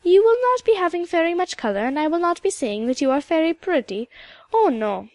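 Lady doing a proud old highland scots granny, regal and earnest, rich voice pitched high, storybook style. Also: big pitch swings, fairly quick, a little mellow, no room sound, heavily acted. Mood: firm, confiding. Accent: Scottish Highland. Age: older. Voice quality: rich, powerful. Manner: stately, emphatic, lilting turns of phrase.

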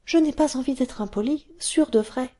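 A woman's voice: high voice, normal speed, warm tone, voice pushed up. Woman doing an eager little cave girl. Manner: eager, pleading, chatty. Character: excited cave girl, bossy and curious.

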